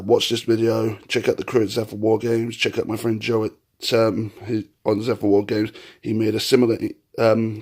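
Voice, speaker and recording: Croaky young man with a sore throat. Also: medium pitch. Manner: rambling, hesitant, many ums and restarts. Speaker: card game deck profiler. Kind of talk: casual deck profile talk.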